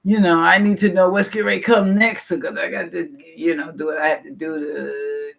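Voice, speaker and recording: An older woman in her sixties speaks with a deep, low voice that has a husky grain. She rambles frankly through a personal share at a support meeting and swears casually as she goes. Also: steady tone, a little fast. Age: 60s. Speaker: meeting participant sharing.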